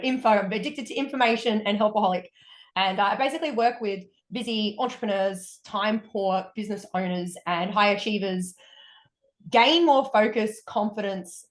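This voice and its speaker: Australian woman guest, candid and upbeat, mid pitch, roomy echo. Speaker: guest, wellness coach. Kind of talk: casual interview storytelling.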